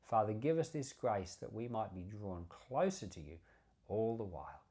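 A man's voice: lowish voice, very animated, moderate pace, mellow. A man giving informal Bible teaching as a pastor, speaking earnestly and conversationally with emphatic repetition. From Australia. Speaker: pastor, Bible podcast host.

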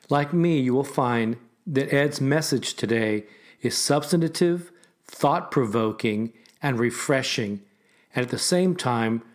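A man hosting a ministry podcast, giving an earnest, measured, prepared introduction and listing his points in series. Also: mid-range voice. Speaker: podcast host.

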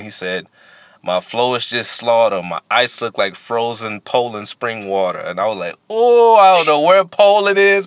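A playful man hosts a relaxed conversation in a slangy, easygoing way, circling back on his own phrases. His voice sits at an ordinary middle pitch. The sound is dry and close, with no room echo. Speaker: podcast host. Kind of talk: casual chat.

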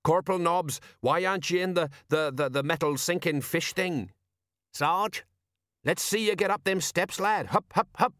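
A man plays a storybook watch sergeant full of nervous bluster. The voice swoops widely and often climbs high as he stammers and barks, dim and self-important. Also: sing-song, unhurried, a bit bright, no room sound, clean studio sound. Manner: blustering, stammering under pressure, barking orders.

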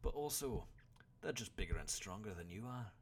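A man's voice: lowish voice, lively intonation, normal speed, slight background noise, voice pushed up, close to an everyday voice. A man voicing a stoic, blunt older warrior mentor who scolds his pupil in an audiobook story. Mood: stern.

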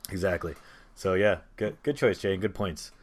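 A man's voice: low-pitched, moderate pace, a crowd in the background. A man hosting a casual film discussion among friends, speaking in an informal, conversational and mildly sweary way. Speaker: podcast host.

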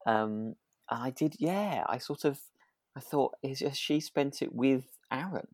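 Podcast co-host: man, mid pitch, dry sound, hesitant, agreeable, conversational. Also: lively intonation, unhurried, darkish tone, no room sound. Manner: hesitant, repeats and trails off, agreeable. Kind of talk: casual discussion of a radio drama.

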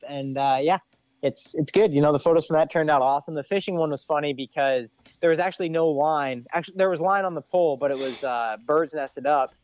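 A young man talks casually as a podcast guest, rambling through his stories with plenty of "dude" and "you know". His voice sits a little high, and the sound is dry and slightly muffled. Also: no room sound. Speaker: ATV racer guest.

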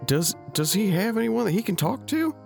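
An actor playing an earnest, concerned young companion in an audio drama, speaking hesitantly and questioningly. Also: male voice, sits high, very animated, moderate pace, vocal fry, darkish tone, faint music behind, heavily acted. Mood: anxious, caring.

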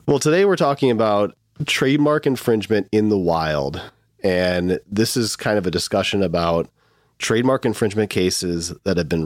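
A man's voice: low voice, dry recording, studio quality. A man with a Midwestern accent from Michigan hosting a casual legal podcast, easygoing and joking. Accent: Midwestern American. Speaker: podcast host.

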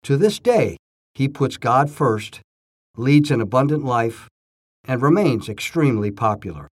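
A man's voice: medium pitch, expressive, measured pace, husky. Man narrating an inspirational true story.

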